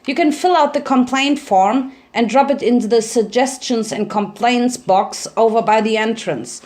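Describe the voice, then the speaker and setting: A woman's voice: on the high side, moderate pace, darkish tone, natural voice. A woman voicing a slightly frightened yet indifferent government clerk pony, hesitant and bureaucratic, in a story reading. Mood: indifferent, official.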